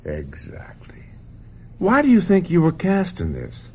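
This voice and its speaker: An actor playing a manipulative, domineering older film director in a radio drama, speaking theatrically and insistently with deliberate, condescending emphasis. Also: male voice, sits high, huge pitch swings, exaggerated voice. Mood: seductive, persuasive. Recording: dull, noisy old radio drama recording.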